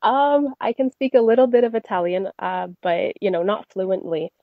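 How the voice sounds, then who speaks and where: A woman's voice: mid-range voice, animated, normal speed, dry recording, phone-quality sound. A young woman from Canada, a singer answering interview questions in a friendly, chatty way with frequent fillers. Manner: friendly, many fillers and restarts. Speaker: guest singer.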